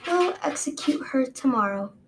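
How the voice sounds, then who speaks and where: A woman's voice: sits high, expressive, a little hiss or hum, low-quality recording. A woman voicing a haughty, cruel dragon queen who speaks with cheerful malice. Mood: gloating. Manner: cheerfully malicious, drawn-out, imperious. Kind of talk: audiobook character reading.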